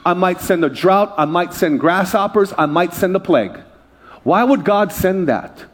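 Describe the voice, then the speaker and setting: Male pastor, passionate preacher who works the room, pitched a bit high. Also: moderate pace. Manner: energetic preaching with crowd call-outs. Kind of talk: sermon.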